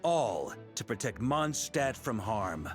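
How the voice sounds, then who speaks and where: A man's voice: on the low side, sing-song, takes it slowly, soft background music. An actor playing a confident male knight commander in a video game, assured and lightly amused.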